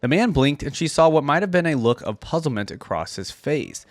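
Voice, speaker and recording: A man reading a story aloud with expressive storytelling and reacting to it as a reaction video host. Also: on the high side, fairly quick, no room sound, faint music behind.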